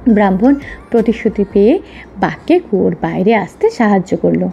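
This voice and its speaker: A woman's voice: medium pitch, big pitch swings, moderate pace, creaky, dull audio. A woman narrating a scripted children's story as a storyteller. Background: soft background music.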